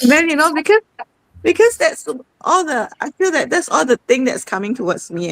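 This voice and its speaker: A woman's voice: mid-range voice, dry recording, low-quality recording. A woman, hosting a casual live-stream sharing, chatty and repeating herself, often saying "okay". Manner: chatty, repeats itself, says "okay" a lot. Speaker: host.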